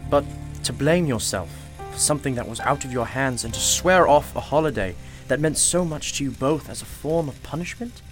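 An actor in a radio play voicing a mysterious, wise gentleman shopkeeper, formal, courteous and reassuring. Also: mid-range voice, natural voice.

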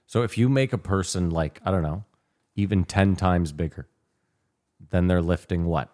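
Low-voiced man teasing dryly. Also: normal speed, a little mellow. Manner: dry, teasing, argumentative. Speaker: podcast co-host. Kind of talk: casual chat.

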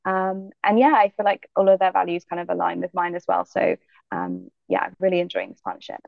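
A young woman athlete from Jamaica, answering interview questions in a relaxed, thoughtful way with many fillers. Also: on the low side, fairly quick, gravelly, no room sound, narrow, tinny audio. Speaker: guest athlete.

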